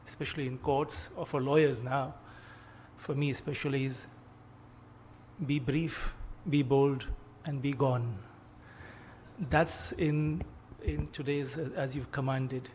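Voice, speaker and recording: An older man delivers a formal keynote address in a courteous, measured way, pausing often to search for words. His voice is slightly high for a man. A faint hiss of noise sits under the recording. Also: darkish tone. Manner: measured, courteous, with frequent pauses. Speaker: keynote speaker, retired judge.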